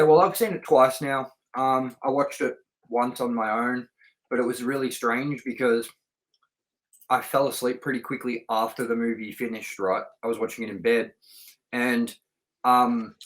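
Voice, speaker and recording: A man's voice: medium pitch, moderate pace, fairly bright tone, poor audio. Middle-aged male review-show host, mid-range voice, casual and rambling, conversational and unscripted. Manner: chatty, rambling, anecdotal.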